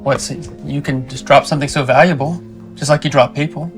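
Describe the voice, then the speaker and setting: A man's voice: a little high, fairly even intonation, a little fast, close to an everyday voice. An actor playing a commanding, bitter male boss and ex-lover in an audio drama, curt in manner. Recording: music behind the voice.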